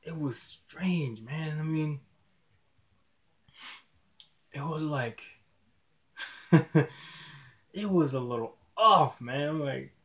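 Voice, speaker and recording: A man of twenty vlogging casually to his camera in a dim small room, rambling and restarting his words often, with relaxed slang. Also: fairly high pitch, slow, pauses often. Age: 20s. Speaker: YouTuber. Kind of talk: casual vlog talk to camera.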